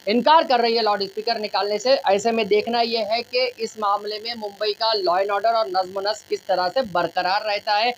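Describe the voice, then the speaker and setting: A woman's voice: medium pitch, steady tone, moderate pace, hardly any pauses. A woman reporting news on location in formal, wordy, repetitive phrasing. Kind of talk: news reporting, interviewing locals. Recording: noisy on-location news audio.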